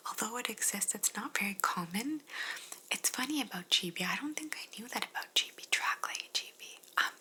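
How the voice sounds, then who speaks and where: A young Canadian woman whispering softly through an ASMR question-and-answer chat. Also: medium pitch, expressive, brisk. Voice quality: soft unvoiced whisper. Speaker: whispering Q&A host.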